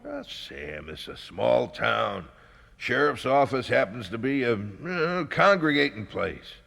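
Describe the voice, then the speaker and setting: An actor playing an uneasy, sardonic small-town accomplice in a radio drama, with a gravelly, husky voice. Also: male voice, mid-range voice, big pitch swings, takes it slowly, fairly bright tone, exaggerated voice. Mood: wary, wry. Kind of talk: 1970s radio drama acting. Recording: reverberant 1970s radio drama recording.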